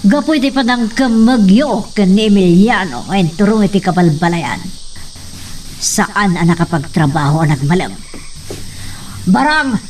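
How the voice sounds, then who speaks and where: A woman's voice: mid-range voice, lively intonation, a little slow, darkish tone, distant mic, slight background noise, natural voice, rough recording. A woman acting a stern, commanding figure in an Ilocano audio drama, speaking with an Ilocano accent. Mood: stern, demanding.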